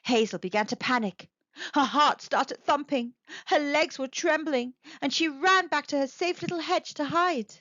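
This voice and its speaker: A woman storyteller reading a children's picture book aloud with expressive narration. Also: high-pitched, normal speed, no echo, muffled recording.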